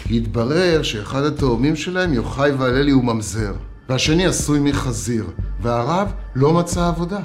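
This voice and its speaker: A man's voice: medium pitch, lively intonation, roomy sound, soft background music, lightly acted. A man playing a sinister, sanctimonious rabbi who makes threats through parables, telling them in a theatrical, menacing, mock-pious way.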